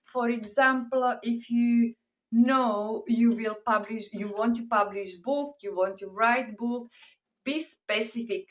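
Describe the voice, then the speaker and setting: A woman's voice: on the high side, distant mic, dull audio. A woman whose first language is Slovak, speaking English with a Slovak accent in an earnest, encouraging faith talk, using simple non-native grammar.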